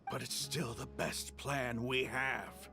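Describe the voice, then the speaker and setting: An actor playing a frank, persuasive desert mercenary leader in a dramatic video game scene, earnest and argumentative. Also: male voice, mid-range voice, fairly even intonation, takes it slowly. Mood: frustrated, insistent. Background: music.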